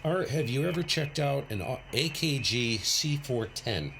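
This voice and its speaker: A man's voice: expressive, a little slow, creaky, background noise. A man hosting an amateur radio livestream, talking casually in a laid-back, rambling way. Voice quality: low voice. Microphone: big studio condenser.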